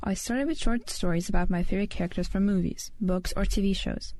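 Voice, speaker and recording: A woman's voice: mid-range voice, a little fast, dark tone. A teenage girl from Lithuania, speaking English with a Lithuanian accent as a second language, hosting her own solo podcast and reading an earnest prepared script. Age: teens. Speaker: podcast host.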